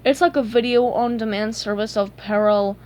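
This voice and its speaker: A woman voicing a mystical, laid-back male talking tumbleweed with a measured, cryptic way of speaking. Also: a little high, fairly even intonation, darkish tone, slight background noise, close to an everyday voice. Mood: serene. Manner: cryptic, measured, mildly exasperated.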